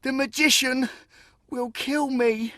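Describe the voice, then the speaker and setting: A man's voice: very high voice, steady tone, slow, bright, forceful tone, no room sound, studio quality, pitched above the performer's own voice, heavily acted. A man voicing a sad, humble, frightened young boy in a fairy tale, earnest and plaintive. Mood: sad, fearful.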